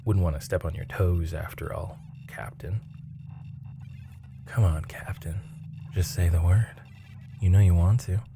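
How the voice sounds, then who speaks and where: A man playing a seasoned, flirty and chatty spaceship mechanic in an intimate ASMR roleplay, teasing and breathy, dropping toward a whisper. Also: low voice, fairly even intonation, a little slow, long pauses, over loud music. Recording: clearly noisy ambient background hum.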